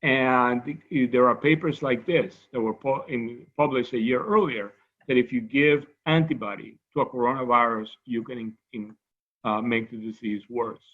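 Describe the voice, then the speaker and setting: A man's voice: mid-range voice, steady tone, moderate pace, phone-quality sound. Cuban-accented man giving a science lecture. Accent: Cuban Spanish. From Cuba. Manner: conversational, with frequent restarts and asides. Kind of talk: academic lecture.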